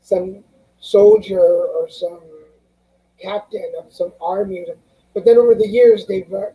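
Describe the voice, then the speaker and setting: A woman's voice: on the low side, mellow, poor audio. A woman hosting an interview show, asking curious questions in a conversational way. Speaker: show host. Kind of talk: casual interview.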